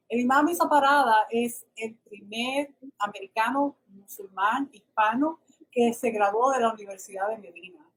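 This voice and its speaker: A woman's voice: a little high, fairly bright tone, breathy edge, low-quality recording. A woman hosting a radio show in Spanish and interviewing a guest.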